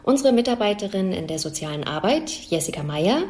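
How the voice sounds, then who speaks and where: A woman's voice: mid-range voice, expressive, brisk, darkish tone. A woman hosting a podcast, presenting introductions and interview questions. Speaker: podcast host.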